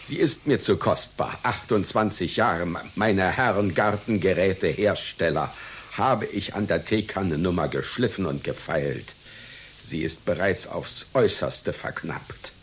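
An actor playing a vain, melancholy aging circus clown in a radio play, pompous and halting, with stammers. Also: male voice, low voice, fairly bright tone. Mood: proud, wounded. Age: older. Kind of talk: satirical radio play acting. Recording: hissy radio play recording.

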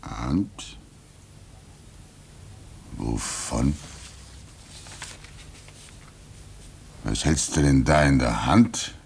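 An actor playing a fatherly older weather-station man in a 1950s German radio drama, soothing and wistful, musing in drawn-out phrases. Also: extremely low pitch, creaky, a little mellow, slight background noise, exaggerated voice. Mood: uneasy.

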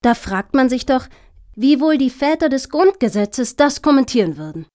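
An actress playing an earnest, officious lobbyist in a satirical radio play, talking in formal, deliberate, speech-like sentences. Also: female voice, sits high, big pitch swings, dark tone. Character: earnest, officious female lobbyist pitching for funding.

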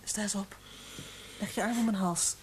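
An actress playing a lonely, devoted woman who speaks gently and soothingly in a radio play. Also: medium pitch, steady tone, normal speed, close to an everyday voice. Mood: gentle concern. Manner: soothing, gentle, hushed at tender moments. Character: lonely, devoted woman, tender and self-sacrificing. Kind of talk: Dutch radio play acting. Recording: noisy, hissy old radio play recording.

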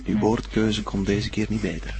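A Flemish actor playing a proud, self-assured and secretive hobby inventor in a television crime drama. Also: male voice, fairly low pitch, level tone, normal speed, gravelly, over loud music. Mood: guarded. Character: proud male hobbyist inventor showing off his workshop. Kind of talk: naturalistic TV crime drama acting.

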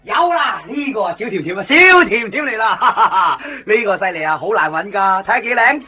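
Excitable Hong Kong toy shopkeeper. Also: male voice, high-pitched, brisk, breathy edge. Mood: eager. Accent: Hong Kong Cantonese. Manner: animated, chatty, hesitant stammering. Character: excitable, fussy male collectible toy shop owner. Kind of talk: Hong Kong radio drama acting. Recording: muffled 1990s radio, music behind.

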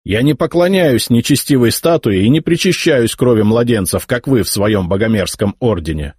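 A man's voice: mid-range voice, expressive, normal speed, darkish tone, breathy edge, dry recording, studio quality, pitched above the performer's own voice. A man voicing an arrogant young heir to the throne who mocks and threatens his old uncle. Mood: sneering. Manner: contemptuous, mocking. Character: arrogant young male emperor's heir, sneering. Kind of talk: audiobook character voicing.

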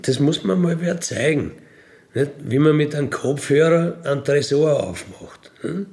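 A middle-aged man with a Bavarian accent tells first-person anecdotes casually, rambling along with colloquial tag questions and throat clearing. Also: a little high, lively intonation, unhurried, mellow. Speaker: storyteller, self-described burglar.

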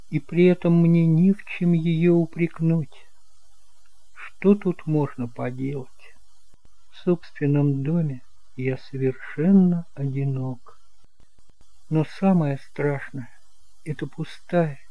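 A man's voice: a little high, mellow, no room sound, voice pushed up, low-quality recording. A man voicing a lonely young husband, weary and lovesick, confiding his troubles in an audiobook drama.

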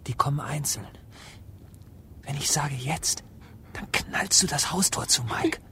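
A man's voice: a little high, lively intonation, a little slow, sharp tone, a little hiss or hum. A young man playing a tense, fatalistic, streetwise teenage boy in a radio drama, speaking tersely. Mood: tense, grim.

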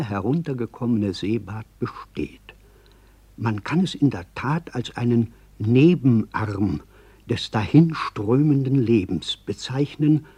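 An actor playing a pompous small-town clergyman who reads out his chronicle in a pedantic, ornate, deliberate manner for a radio drama. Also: medium pitch, warm tone, slightly rough, no room sound. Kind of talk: radio drama character reading.

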